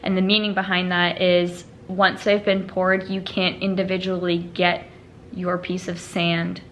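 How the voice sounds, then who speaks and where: A woman's voice: lowish voice, level tone, a little slow, fairly bright tone, a little hiss or hum. A young woman chatting casually to the camera at home, upbeat and rambling, sounding congested and a little nasal from allergies. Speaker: lifestyle vlogger.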